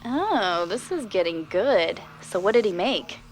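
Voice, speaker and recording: A woman's voice: mid-range voice, very animated, measured pace, soft background music, poor audio. A woman acting in an audio drama as a curious, eager listener, inquisitive and reactive as she questions a storyteller. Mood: intrigued.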